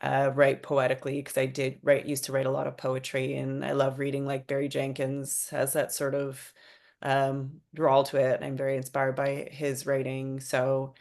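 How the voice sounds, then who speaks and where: A woman's voice: a bass voice, monotone, moderate pace, vocal fry, a little mellow. A woman screenwriter talking informally about her own work in a loosely phrased, casual discussion.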